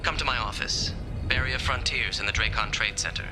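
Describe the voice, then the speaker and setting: A man's voice: lowish voice, brisk, lightly acted. A man playing a boastful but wary hired informant in a video game, talking casually. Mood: confident, wary. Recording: recorded call with music behind.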